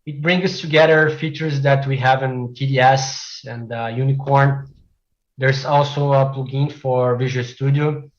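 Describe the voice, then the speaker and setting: A man from Brazil whose first language is Portuguese, giving a technical presentation as a developer, with frequent "uh" fillers and measured delivery. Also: mid-range voice, steady tone, lo-fi sound. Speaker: conference speaker, software developer.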